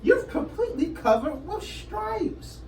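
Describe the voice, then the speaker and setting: Lady reading as a freaked out bossy storybook mom, high n swoopy, noisy room. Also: sing-song, unhurried, exaggerated voice. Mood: horrified. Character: shrieking, alarmed, bossy storybook mother. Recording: echoey room, distant mic, background noise.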